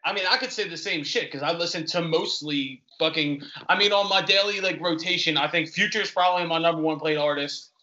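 Philly guy hosting a podcast, high bright voice, cussing and rambling, muffled audio. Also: brisk, few pauses. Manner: loose, profane, rambling. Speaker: podcast host. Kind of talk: casual interview chat.

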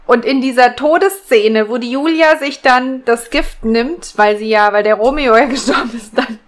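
A woman's voice: a little high, normal speed. A woman in casual podcast chat, explaining things with some hesitation as a co-host.

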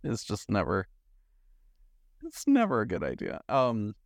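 Gravelly, lower-voiced young man chatting. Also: huge pitch swings, unhurried, dry recording, studio quality. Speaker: podcast co-host. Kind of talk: casual chat about films.

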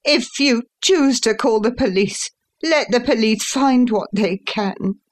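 A man voicing a proud, indignant young gentleman son in a Victorian audiobook, impassioned and defiant. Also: high-pitched, expressive, moderate pace, voice pushed up.

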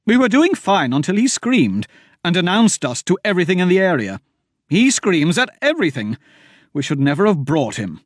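A man's voice: high-pitched, big pitch swings, normal speed, no room sound, studio quality, pitched above the performer's own voice. A man voicing a bossy, dismissive young woman treasure hunter. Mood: insistent. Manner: sharp, dismissive.

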